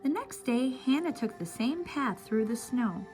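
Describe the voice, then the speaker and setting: Female storyteller for young children: slow, deliberate read-aloud, slightly high pitch, encouraging delivery. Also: very animated, a little mellow, faint music behind. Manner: simple, encouraging address to young listeners.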